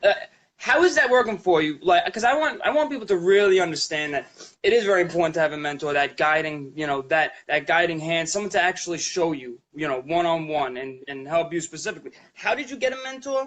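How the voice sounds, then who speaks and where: A man's voice: on the high side, expressive, fast talker, fairly bright tone. Rambling man hosting an interview. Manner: encouraging, rambling, many fillers. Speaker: show host. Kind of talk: casual interview talk.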